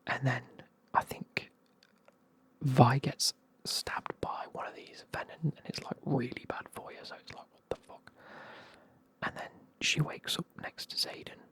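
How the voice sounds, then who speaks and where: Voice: medium pitch, slightly rough, no room sound. A speaker, whispering a casual, rambling recap of a fantasy novel full of fillers. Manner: soft whisper, rambling, frequent fillers and swearing. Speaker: book reviewer. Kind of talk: whispered ASMR book recap.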